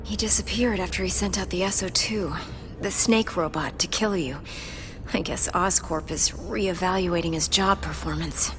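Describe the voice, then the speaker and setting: A woman's voice: on the low side, normal speed, music in the background, natural voice. A young woman with an American accent, acting as a smart, brave, caring heroine in a video game cutscene. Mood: calm, recovering. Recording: clear background noise and ambience. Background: noisy ambience.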